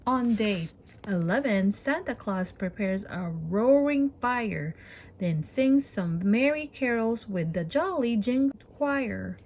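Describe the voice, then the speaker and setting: Slow-paced, higher-voiced woman reading a storybook. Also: expressive, a little mellow. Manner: slow, deliberate, encouraging. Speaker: children's storybook read-aloud host. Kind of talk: expressive read-aloud narration for young children.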